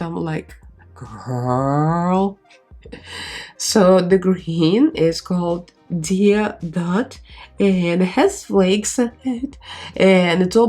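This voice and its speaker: A woman's voice: medium pitch, warm tone. A woman with a stuffy, nasal voice from a cold, chatting casually as she walks through a makeup tutorial. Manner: chatty, rambling with fillers, talks while applying makeup. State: congested, nasal, strained voice from a cold. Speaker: beauty vlogger.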